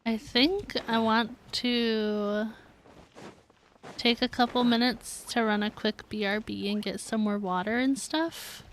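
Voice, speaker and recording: A woman's voice: fairly high pitch, steady tone, slow pace, a little hiss or hum. A woman game streamer chatting casually and informally, thinking aloud as she talks to her viewers. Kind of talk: casual livestream chat.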